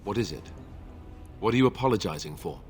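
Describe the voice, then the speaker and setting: A man's voice: lowish voice, lively intonation, a little fast, a little hiss or hum. A man playing an earnest young warden companion in a video game. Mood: concerned. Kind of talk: scripted video game dialogue.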